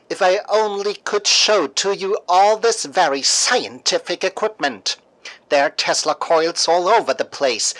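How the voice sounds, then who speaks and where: A storyteller of middling pitch hosts a radio drama. The delivery is theatrical and deliberate, with grand emphasis on key words. The sound is dry and close, with no room around it. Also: a bit bright, no room sound, low-quality recording. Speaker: host and narrator of a fictional radio news show. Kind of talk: scripted dramatic storytelling, mock radio newscast.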